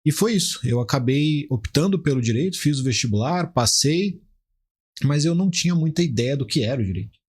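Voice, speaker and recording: A man's voice: fairly high pitch, lively intonation, a little fast, slightly rough. A Brazilian man in his forties giving conversational, explanatory interview answers as a podcast guest, often restarting his phrases. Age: 40s. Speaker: podcast guest, criminal defence lawyer.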